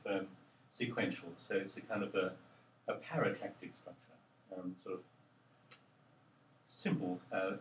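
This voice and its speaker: An older man, a linguist, answering interview questions in a halting, thoughtful way with many pauses and ums. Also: low voice, background noise. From Australia. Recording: roomy, distant mic with faint ambience.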